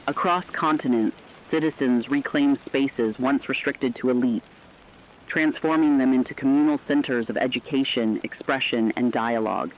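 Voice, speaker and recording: A man giving scripted news narration as a radio co-anchor, formal and measured. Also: mid-range voice, dull audio.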